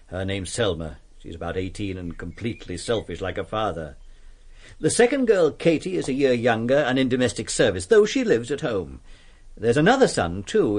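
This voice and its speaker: An actor playing an earnest, kindly English vicar in a radio drama, speaking politely and precisely with some hesitation. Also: male voice, fairly low pitch, a little mellow. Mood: confiding, disapproving.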